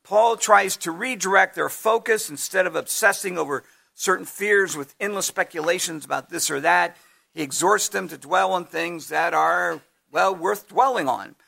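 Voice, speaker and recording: A man preaching a sermon at a measured pace, his voice going hoarse, clearing his throat now and then. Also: sits high, sharp tone. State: losing his voice. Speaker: pastor.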